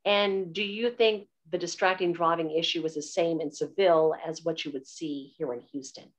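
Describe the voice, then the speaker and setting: A woman's voice: lowish voice, fairly even intonation, moderate pace. A woman hosting a live online Q&A on a video call, asking long, winding questions. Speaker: event host asking questions.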